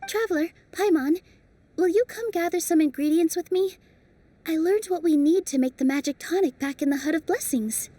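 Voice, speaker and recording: A young woman voicing a gentle, hopeful dancer who works magic, speaking softly and earnestly in a game cutscene. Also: very high voice, normal speed, a little hiss or hum. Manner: soft, earnest, encouraging. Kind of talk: video game cutscene dubbing. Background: faint game music.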